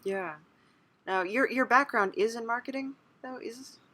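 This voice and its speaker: A woman's voice: fairly high pitch, unhurried, bright, forceful tone. A woman hosting a casual podcast interview in an agreeable, conversational way. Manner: agreeable, frequent short acknowledgements.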